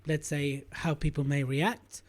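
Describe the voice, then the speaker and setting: A man's voice: fairly high pitch, level tone, measured pace. A man hosting a podcast interview, asking probing questions and summarizing what his guest says. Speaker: podcast host.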